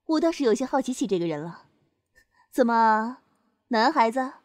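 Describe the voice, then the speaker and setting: Woman acting composed high-voiced student leader. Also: expressive, moderate pace, dry recording, clean studio sound, natural voice. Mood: composed, curious. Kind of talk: amateur Chinese audio drama acting.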